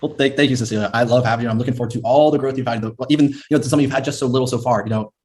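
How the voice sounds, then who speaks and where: Rapid-fire encouraging course host. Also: male voice, medium pitch, fairly even intonation, darkish tone. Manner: encouraging, repeats words, says bro. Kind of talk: casual motivational talk on a video call. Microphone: video call.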